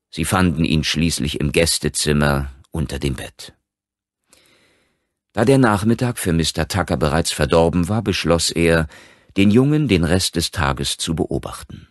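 A man's voice: extremely low pitch, no echo, studio quality. A man narrating a scripted horror story as an audiobook reader.

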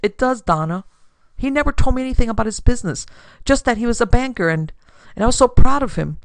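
A narrator voicing a distressed, earnest young woman with a halting, pleading manner in an audiobook story. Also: mid-range voice, lively intonation, no echo, voice pushed up, close to an everyday voice.

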